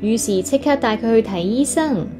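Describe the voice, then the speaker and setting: A woman's voice: on the high side, moderate pace, over music. A woman with a Hong Kong Cantonese accent reading a children's story aloud expressively, as if to young listeners.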